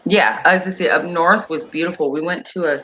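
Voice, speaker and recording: A woman's voice: on the low side, a little fast, lo-fi sound, noisy background. A middle-aged woman hosting a casual livestream chat over a video call, a chatty storyteller who laughs easily. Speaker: livestream host.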